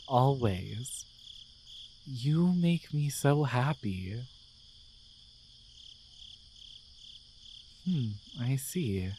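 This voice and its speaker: A performer voicing a gentle, devoted guardian angel in a romantic ASMR roleplay, speaking tenderly and hesitantly with earnest pauses. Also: medium pitch, slight background noise. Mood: adoring. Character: gentle, devoted guardian angel, newly feeling, shyly in love.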